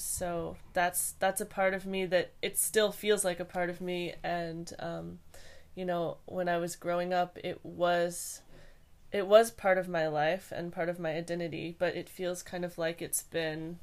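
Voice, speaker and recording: Young woman from hawaii on a podcast, kinda low voice, lots of ums and restarts. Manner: hesitant, thoughtful, many fillers and restarts. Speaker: podcast guest. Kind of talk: casual interview answers.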